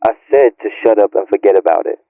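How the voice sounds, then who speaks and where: Guy playing pissed off young dude on a crappy phone line, lowish voice, swearing and blaming, audio rp. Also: fast talker, dry recording. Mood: annoyed, scolding. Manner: profane, slangy, berating. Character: bitter, irritated young male friend, scolding and blaming. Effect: phone or radio filter. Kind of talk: audio roleplay acting, naturalistic argument. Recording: narrow tinny phone-like band.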